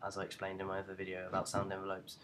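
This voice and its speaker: A young man giving an informal software tutorial in a hesitant, halting way. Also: low voice, completely flat delivery, heavy background noise. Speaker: student tutorial presenter.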